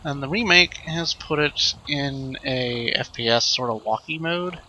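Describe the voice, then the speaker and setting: A man hosting a let's play with casual, improvised commentary, rambling and thinking out loud as he goes. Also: fairly high pitch, measured pace, slight background noise, rough recording.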